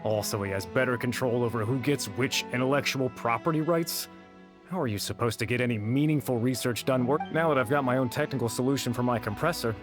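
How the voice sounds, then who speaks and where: A man's voice: medium pitch, fast talker, lightly acted. A man playing a guarded, disgruntled researcher in a video game, speaking bluntly and grudgingly. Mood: resentful. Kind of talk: video game character dialogue. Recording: music behind the voice.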